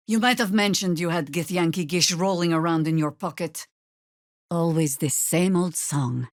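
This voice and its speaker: A woman's voice: on the low side, dry recording, lightly acted. A woman voicing a seasoned, wry, battle-hardened druid veteran in a fantasy video game, speaking in a dry, world-weary, commanding way. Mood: grim, wry.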